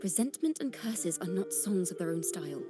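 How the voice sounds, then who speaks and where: A woman voicing a gentle, earnest young bard in a video game story, speaking in a measured, contemplative and poetic way. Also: lowish voice, animated, close to an everyday voice. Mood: serious, resolute. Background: background music.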